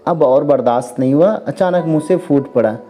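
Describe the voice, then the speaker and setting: A storyteller narrating a scripted first-person story in an expressive, dramatized way. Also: medium pitch, normal speed. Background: background music.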